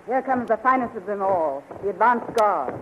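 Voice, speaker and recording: A woman's voice: mid-range voice, expressive, normal speed, lightly acted. A regal queen of magic from a 1930s radio serial, played by a woman. She speaks formally and adoringly, with broad, swooping intonation. The old recording is dull, with faint hiss. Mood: proud. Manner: stately, formal delivery.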